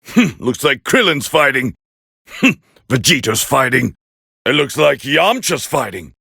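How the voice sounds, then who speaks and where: A man voicing a proud, scornful alien warrior as a fighting game commentator, gravelly and haughty in an English anime dub style. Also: medium pitch, very animated, unhurried, no room sound, clean studio sound, heavily acted. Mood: commanding, disdainful. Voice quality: gravelly, raspy. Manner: haughty, forceful, clipped exclamations.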